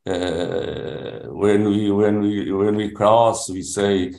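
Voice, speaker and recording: A deep-voiced man in his seventies speaks English with a Portuguese accent, slowly and haltingly, restarting his sentences again and again. His voice is gravelly, and the room lends it a noticeable echo. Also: fairly even intonation, a little mellow. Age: 70s. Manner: halting, with many restarts and fillers. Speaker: language student. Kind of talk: classroom self-introduction. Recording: roomy echo.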